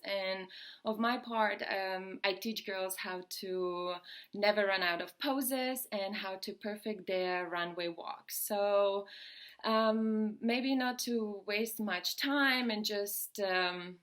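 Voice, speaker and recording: Woman, 30s, mid pitch, chatty coaching, fillers and nervous laughs, phone mic indoors. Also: fairly bright tone. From Lithuania. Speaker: model and modeling coach. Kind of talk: live-stream posing tutorial. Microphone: phone camera.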